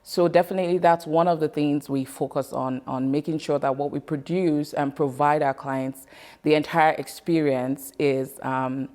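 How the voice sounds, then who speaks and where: A woman's voice: low-pitched, steady tone, moderate pace, darkish tone. A woman who runs a photography business, answering interview questions and stopping to search for words.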